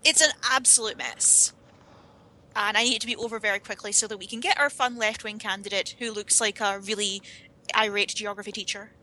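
A woman's voice: mid-range voice, fairly even intonation, brisk, pushed and bright, low-quality recording. A Scottish woman explaining at length in a casual podcast chat, with a wry manner. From United Kingdom.

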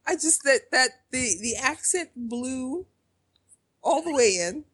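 Husky-voiced Chicago woman chatting. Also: high voice, lively intonation, slow pace, a bit bright. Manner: chatty, trailing off and restarting. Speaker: podcast co-host. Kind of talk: casual chat.

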